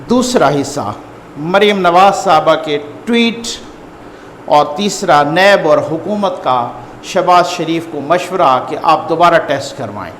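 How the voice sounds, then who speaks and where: A man with a Pakistani accent giving informal news commentary to viewers, conversational and repeating phrases for emphasis. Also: fairly high pitch. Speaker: host and political news commentator. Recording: echoey reverberant room, faint background noise.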